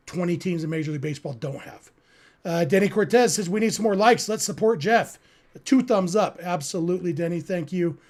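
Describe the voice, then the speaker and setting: A man's voice: sits high, a little mellow. An American man from California hosting a sports podcast, chatting casually and earnestly as he answers listeners. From California, United States.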